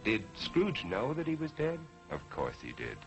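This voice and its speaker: A man narrating as a storyteller in a theatrical scripted style, deliberate and emphatic, on a vintage 1940s television soundtrack. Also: on the low side, huge pitch swings, soft background music, rough recording. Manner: deliberate, emphatic, dramatic phrasing.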